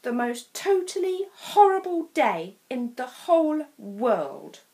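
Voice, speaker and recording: A woman from England with an English accent reading a children's picture book aloud as a storyteller. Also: sits high. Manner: slow, expressive read-aloud.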